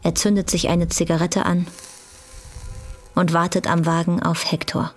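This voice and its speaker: A woman narrating a scripted German radio drama in a cool, deliberate manner. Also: lowish voice, flat delivery, slight background noise.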